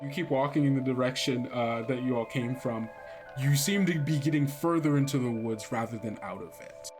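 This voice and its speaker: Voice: mid-range voice, normal speed, music in the background. A game master hosting a tabletop podcast, chatting casually with an informal, joking way of talking.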